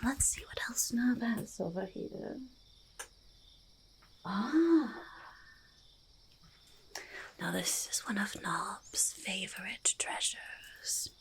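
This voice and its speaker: A woman voicing a small, curious, gentle goblin in an ASMR roleplay, whispered and soft-spoken, breathy and creaky, often repeating little phrases. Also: medium pitch, animated, drawn-out words, pauses often, full character voice. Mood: fascinated. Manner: refers to self in third person, repeats phrases softly. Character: small curious goblin, gentle, eager, easily delighted hoarder.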